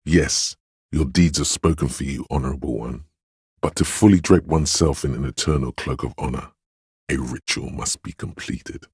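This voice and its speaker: An actor voicing a solemn, grandiose inner voice of authority in a video game, speaking in a pompous, ceremonious and deliberate manner. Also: male voice, a bass voice, a little mellow, breathy edge, no echo, studio quality, exaggerated voice. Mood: solemn praise. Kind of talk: scripted game voice lines, theatrical narration.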